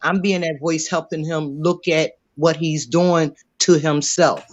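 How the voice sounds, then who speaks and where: A man's voice: on the high side, fairly even intonation, unhurried. Blunt middle-aged man, lo-fi audio. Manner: blunt, repetitive, talks over others. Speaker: recovering alcoholic. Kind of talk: casual debate. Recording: dull lo-fi audio.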